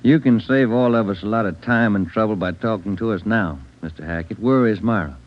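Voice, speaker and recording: A man's voice: fairly low pitch, brisk, close to an everyday voice. An American man acting a no-nonsense detective partner, curt and plain-spoken, in old radio drama style. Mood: curt, firm. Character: gruff, no-nonsense male police detective partner. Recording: dull vintage radio recording.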